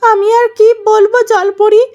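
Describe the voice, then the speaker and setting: A woman's voice: very high-pitched, level tone, a little slow, a little mellow. A woman voicing a vain, selfish young wife in a cartoon story, wailing and petulant in a melodramatic way. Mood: tearful pleading.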